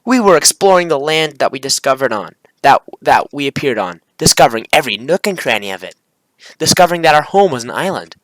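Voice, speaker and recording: Amateur creator, script read-aloud, very animated pitch, bright tone, self-corrections, casual slang. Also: fairly quick, no echo, poor audio. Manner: stumbles over script, corrects self, excitable slangy asides. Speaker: video creator and amateur visual novel maker.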